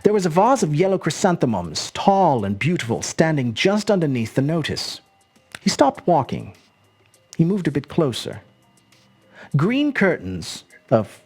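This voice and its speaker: A storyteller narrating a scripted short story in an expressive, measured, dramatic manner. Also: mid-range voice, husky, faint music behind. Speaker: storyteller, host.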